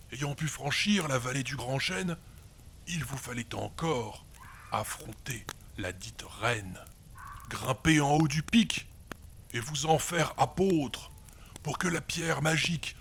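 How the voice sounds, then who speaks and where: A man's voice: fairly high pitch, a bit bright, a little hiss or hum. A man narrating a fantasy recap in scripted rhymed verse.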